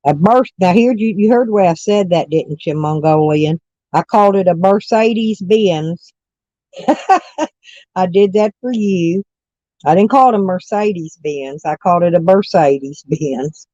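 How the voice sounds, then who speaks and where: A hoarse woman with stuffy sinuses, rambling folksily as she chats with live viewers from her camper van. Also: on the low side, unhurried, mellow, no room sound, highs cut off. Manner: rambling, folksy, self-correcting. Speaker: vlogger chatting with live viewers. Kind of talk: casual morning chat.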